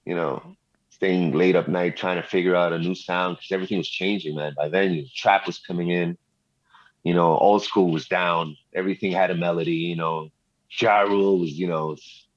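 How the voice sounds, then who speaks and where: A man's voice: deep voice, steady tone, moderate pace. A middle-aged man from Montreal, a rapper and producer telling his story in a laid-back way, full of street slang and "you know.". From Montreal, Canada. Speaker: rapper and music producer, guest. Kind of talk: casual interview storytelling. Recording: slightly dull, lo-fi sound.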